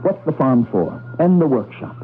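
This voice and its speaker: A man's voice: mid-range voice, lively intonation, normal speed, natural voice. An actor playing a defiant, impassioned male rebel with an urgent, emphatic delivery in a 1980s radio drama. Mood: defiant, rallying. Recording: tinny vintage radio broadcast, faint music behind.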